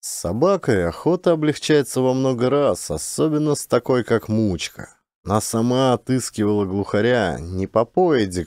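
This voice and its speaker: A man narrating a literary short story as an audiobook, in a measured, expressive storytelling manner. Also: lowish voice, no room sound, studio quality.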